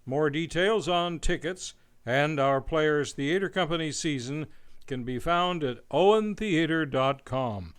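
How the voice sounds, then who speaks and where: Smooth, higher-pitched male radio announcer. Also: fairly bright tone. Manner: formal, polished announcer delivery. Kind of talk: scripted radio credits announcement.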